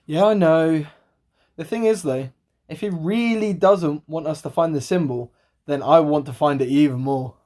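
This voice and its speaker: A woman's voice: deep voice, moderate pace, mellow, natural voice. A woman acting a cocky, sceptical young adventurer with sarcastic banter in an amateur radio play. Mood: cocky, dismissive. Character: cocky, sceptical, bold young adventurer who loves to mock.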